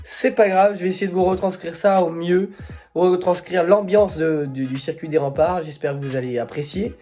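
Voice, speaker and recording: Bright, higher-voiced man vlogging with a slight cold. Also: steady tone, normal speed, faint music behind. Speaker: car vlog host. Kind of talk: casual vlog commentary on cars.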